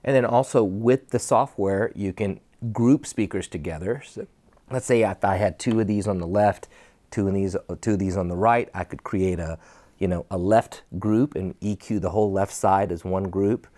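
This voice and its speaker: A man's voice: deep voice, normal speed, warm tone. A man in his forties hosting a casual product presentation, asking questions and explaining features. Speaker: host interviewing and presenting products. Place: indoor studio set, seated.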